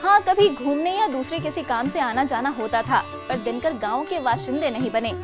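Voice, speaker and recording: A woman's voice: high voice, normal speed. A woman giving polished, scripted documentary narration in a storytelling manner. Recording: clear sitar music under narration.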